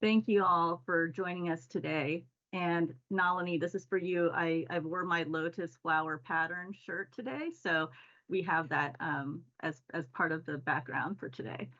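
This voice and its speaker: A woman's voice: fairly low pitch, fairly even intonation, a little slow, a bit bright, dull audio. A woman hosting a panel discussion, reading formal introductions and questions. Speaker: panel moderator.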